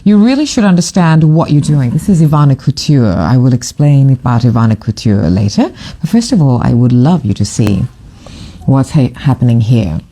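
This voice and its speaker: A middle-aged photographer from Fiji gives a rambling, gushing live commentary to camera. The voice has a warm, relaxed timbre at a middling pitch. The talk drifts between asides, fillers and restarts. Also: moderate pace. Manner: gushing, enthusiastic. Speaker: photographer and author presenting a book.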